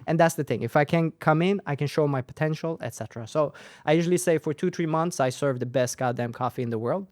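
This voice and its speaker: A man's voice: on the high side, fast, no room sound, studio quality. A young man with Persian as his first language, raised in Sweden, telling his story in a casual interview and driving points home by repeating phrases. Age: young adult. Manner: animated, tag questions, repeats phrases for emphasis. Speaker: guest, company founder.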